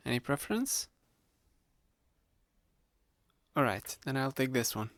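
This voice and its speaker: A man playing a sly, playful young male student hypnotist in a conversational ASMR roleplay, speaking to the listener in a gravelly, teasing voice. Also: medium pitch, big pitch swings, brisk, fairly bright tone, dry recording. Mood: relieved, friendly. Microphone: close studio-style microphone, dry.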